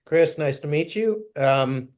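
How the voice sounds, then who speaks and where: A man from New York on a video call, telling his story in a measured way with soft filler sounds. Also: fairly high pitch, lively intonation. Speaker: guest introducing himself. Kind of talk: casual video call conversation.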